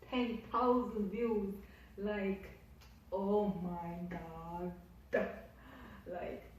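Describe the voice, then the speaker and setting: A woman's voice: on the low side, darkish tone, very echoey, distant mic, a little hiss or hum, poor audio. A Jamaican woman vlogging casually to her camera, effusive and repetitive as she piles up her thanks. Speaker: YouTuber. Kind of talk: casual vlog talk to camera.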